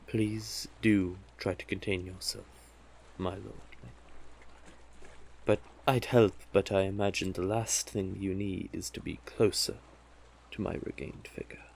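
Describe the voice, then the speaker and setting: A man's voice: low-pitched, fairly even intonation, measured pace, creaky, heavily acted. A man playing a seductive, manipulative male sea witch in a hypnotic audio roleplay, doting and teasing in a soothing, coaxing way.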